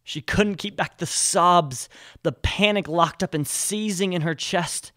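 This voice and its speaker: Guy playing a grieving survivor narrating, sombre and dramatic, highish voice, dry close sound, audio drama. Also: a little slow, pushed and bright, no echo. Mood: pained, sorrowful. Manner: sombre, dramatic, weighty phrasing. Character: shaken, grieving male survivor narrating a nightmare. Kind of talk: scripted first-person audio drama narration.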